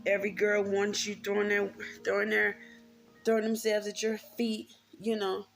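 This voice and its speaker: A woman's voice: medium pitch, monotone, normal speed, vocal fry, soft background music. An American woman in her thirties talking candidly and informally to her viewers, reflective and repeating points for emphasis. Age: 30s. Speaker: vlogger. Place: indoors, room with a closet.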